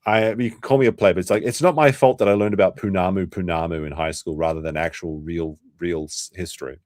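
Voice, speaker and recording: Man, New Zealand accent, low pitch, dry close mic, rambling crude livestream chat. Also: steady tone, brisk, a little mellow, no echo. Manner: rambling, crude, restarts often, talks to chat. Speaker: streamer host. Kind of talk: casual livestream reaction chat.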